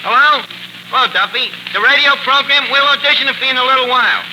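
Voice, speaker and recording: High-pitched, wisecracking tavern manager, noisy recording. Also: male voice, quick pace. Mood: pleading. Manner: malapropisms, slangy ungrammatical speech. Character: wisecracking, uneducated tavern manager who mangles his words. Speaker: host. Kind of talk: 1940s radio comedy acting. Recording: noisy 1940s radio broadcast recording.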